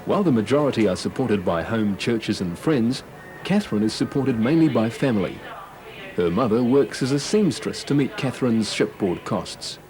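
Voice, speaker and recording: Man, documentary voice-over, lively rising and falling pitch, music playing underneath. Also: normal speed. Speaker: documentary narrator. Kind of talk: scripted narration.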